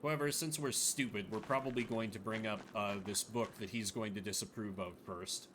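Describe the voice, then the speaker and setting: A man's voice: fairly low pitch, fairly even intonation, brisk, noisy background. A man doing casual game commentary as a streamer, chatty and thinking aloud.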